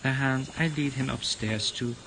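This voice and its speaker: A man streaming a visual novel and reading its narration aloud, a bit halting. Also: mid-range voice, noisy background. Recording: guitar music behind the voice.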